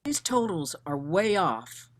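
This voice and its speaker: Woman playing a defiant, animated female investigator. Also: on the low side, slow, full character voice. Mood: focused, urgent. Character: defiant, determined recently widowed female investigator, former accountant. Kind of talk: screenplay table read acting.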